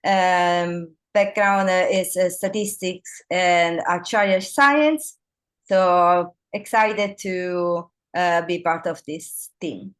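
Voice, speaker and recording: An Italian woman from Rome with an Italian accent, introducing herself as a co-organizer on a video call, halting and pausing with "uh" fillers. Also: lowish voice. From Rome, Italy. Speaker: meetup co-organizer.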